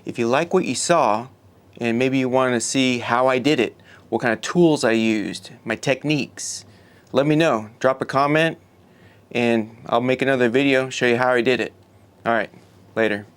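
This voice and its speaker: A man's voice: medium pitch, moderate pace, clean tone. A man in his forties talking casually and reflectively as a video creator, sharing personal thoughts. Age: 40s. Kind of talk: casual talk to viewers and reflective personal narration.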